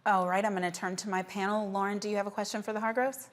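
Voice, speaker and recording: A woman with a medium-pitched voice hosts a news show. She delivers introductions and questions in a broadcast presenting style. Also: little pitch movement, fast talker.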